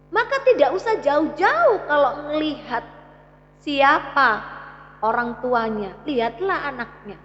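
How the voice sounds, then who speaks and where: A woman's voice: sits high, a bit bright. A woman with an East Javanese Indonesian accent giving a religious parenting talk, teaching emphatically and addressing parents directly with rhetorical questions. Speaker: Islamic parenting speaker. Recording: echoey hall, slightly muffled sound.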